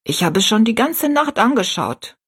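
A woman voicing a tiny, kind and wise old woman who speaks softly, slowly and in a hushed way. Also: on the high side, very animated, slightly rough, voice pushed up, full character voice. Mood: gentle. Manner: soft, slow and hushed.

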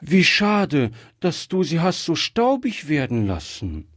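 Casting: eager, innocent little storybook girl played by a man, lifted high pitch, lively swings, inquisitive and earnest. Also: slow, dry recording, clean studio sound, full character voice. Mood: excited. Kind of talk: acted storybook dialogue.

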